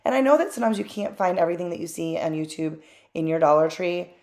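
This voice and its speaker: Lady talking over her craft video, kinda low voice, lots of ums and little side comments. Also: animated, quick pace, darkish tone. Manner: chatty and candid, frequent fillers and asides. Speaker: craft channel host.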